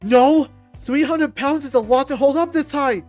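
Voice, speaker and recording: Woman voicing a gruff, proud, huge beast fighter. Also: sits high, moderate pace, darkish tone, faint music behind, voice pushed up, lightly acted. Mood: defensive, loud. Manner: gruff, defiant, blustering.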